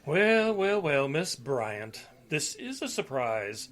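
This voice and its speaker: A man acts out a blustery police detective in an old-fashioned radio drama. His voice sits rather high for a man and rings bright and sharp. He is folksy and exclamatory, with very animated pitch. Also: slow, exaggerated voice. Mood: hearty, surprised.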